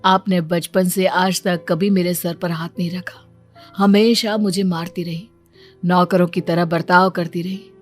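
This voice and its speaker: A woman takes the part of a gentle young stepson standing firm in a cartoon drama. The voice is fairly low, measured and earnest, over faint music. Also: fairly even intonation. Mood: hurt, firm. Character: wronged young stepson, gentle but newly resolute. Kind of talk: dramatic cartoon story dialogue.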